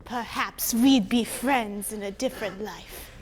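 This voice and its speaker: A woman's voice: mid-range voice, a little slow, a little hiss or hum, natural voice. A woman playing a commanding yet compassionate figure in a war musical, earnest and declamatory. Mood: tender, sorrowful. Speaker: stage musical performer. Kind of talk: theatrical acting.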